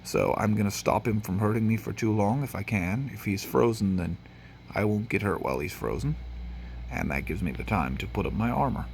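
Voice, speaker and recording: A man's voice: lowish voice, brisk, gravelly, a little mellow, a little hiss or hum. A man from Tennessee, with a Tennessee accent, giving casual, rambling gameplay commentary with lots of fillers, sniffly from allergies. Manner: rambling, with frequent fillers and restarts. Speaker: gaming channel host.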